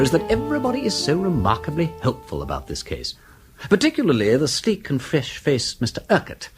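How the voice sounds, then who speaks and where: An actor playing a flippant, inquisitive aristocratic detective in a British radio drama, with an upper-class English accent and a breezy, foppish, rambling politeness. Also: male voice, on the high side. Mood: musing.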